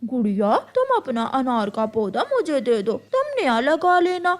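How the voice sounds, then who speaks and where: A woman's voice: high-pitched, very animated, unhurried. A woman voicing a greedy, spoiled young crow boy in a children's cartoon, whiny and demanding. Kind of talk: children's cartoon story voice acting.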